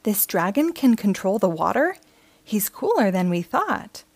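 A woman's voice: medium pitch, very animated, unhurried, darkish tone, no echo. A woman reading the part of an eager, determined child dragon hunter in an earnest storybook delivery.

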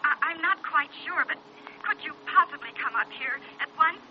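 A woman's voice: high-pitched, expressive, normal speed. An anxious nurse phoning for help in an old radio serial. Her voice is hesitant and pleading. It comes through muffled like a telephone call, with faint noise. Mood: worried, hesitant. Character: worried, hesitant nurse calling by telephone, woman. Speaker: actress in a radio drama. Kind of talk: 1940s-50s radio acting.

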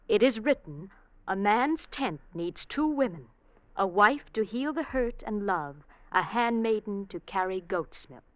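Scheming wife, medium-pitched, coaxing, deliberate, sententious, classic radio play. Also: female voice, animated, fairly bright tone, dry recording. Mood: coolly persuasive. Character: scheming, coaxing farmer's wife who argues by proverbs. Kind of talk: old-time radio drama acting.

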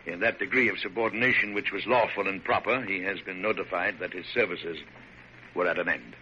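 An actor playing a dignified, kindly but firm older American President, speaking formally and with measure in a classic radio drama. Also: male voice, low voice, lightly acted. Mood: regretful. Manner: formal, measured, hesitant at times. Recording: noisy, dull vintage radio recording.

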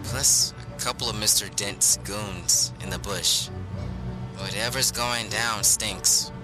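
A man's voice: takes it slowly, over music. A man voicing a young male sidekick reporting over a radio, terse and wary. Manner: terse, low-key reporting.

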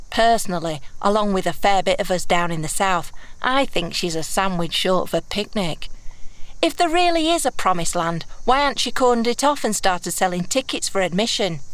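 A blunt, brash young-at-heart thief of noble forest birth , acted by a woman in a fantasy audio drama. She talks with wry sarcasm and colorful old-world slang at a middling pitch. The sound is close and dry. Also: expressive, normal speed, fairly bright tone, dry recording, natural voice, rough recording. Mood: wry, scornful. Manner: wry, sarcastic, colloquial with archaic turns.

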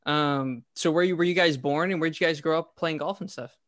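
A man's voice: fairly high pitch, fairly quick, fairly bright tone. A man hosting a casual interview, asking friendly questions with frequent short affirmations. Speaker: interview host.

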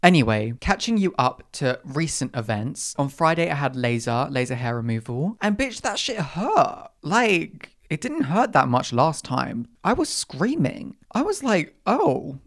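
Sassy young podcaster with a croaky voice. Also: big pitch swings, unhurried, hardly any pauses. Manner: sassy, dramatic, acts out its own reactions with big pitch jumps. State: croaky after a run. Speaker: podcast host. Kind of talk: casual storytelling monologue.

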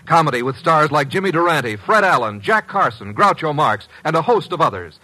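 A man's voice: fairly high pitch, lively intonation, a little fast, sharp tone, poor audio. A man announcing in a polished, promotional 1950s radio style, reading from a script.

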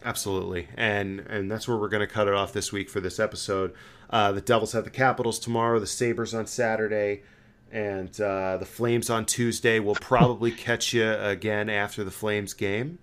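A man's voice: on the low side, level tone, moderate pace, fairly bright tone, a little hiss or hum. A man hosting a sports podcast in casual, conversational chat about hockey.

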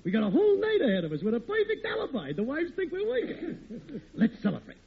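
An actor playing a sly, wisecracking married workmate who cajoles in slangy 1940s radio sitcom style. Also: male voice, extremely high pitch, wildly swooping pitch, fast, vocal fry, full character voice. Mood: cajoling. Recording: tinny old radio recording.

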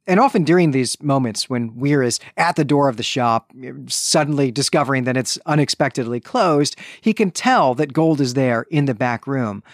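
A man's voice: mid-range voice, lively intonation, moderate pace, few pauses, dry recording, clean studio sound. A man hosting a podcast, giving a conversational, bookish literary recap with wry asides. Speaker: podcast host.

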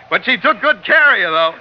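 A hearty high-pitched radio skipper. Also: male voice, a little hiss or hum. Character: hearty, wry ship's skipper, master of a sailing ketch. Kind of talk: 1940s radio adventure acting. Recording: old muffled radio broadcast with music.

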